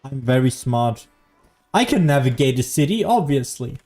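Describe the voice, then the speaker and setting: A man's voice: medium pitch, sing-song, moderate pace, darkish tone. A man giving casual, sarcastic commentary as he plays a video game. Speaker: gaming streamer. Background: faint game music.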